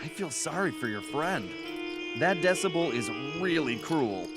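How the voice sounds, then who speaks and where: A man's voice: fairly high pitch, lively intonation, unhurried, over music. A man voicing a cocky, wisecracking young raccoon thief in a video game, with a teasing, wry manner. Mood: exasperated. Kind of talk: animated video game voice acting.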